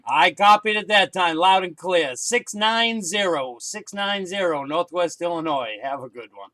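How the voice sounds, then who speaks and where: A man's voice: sits high, measured pace, sharp tone, a little hiss or hum. A man working as a CB radio operator, calling out contacts in a friendly way, repeating call signs and signing off.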